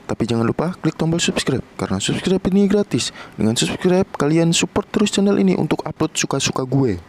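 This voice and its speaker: A man gives a lively intro for his channel in upbeat promo patter. His pitch sits a bit high. His voice rises and falls a lot. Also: brisk. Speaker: host.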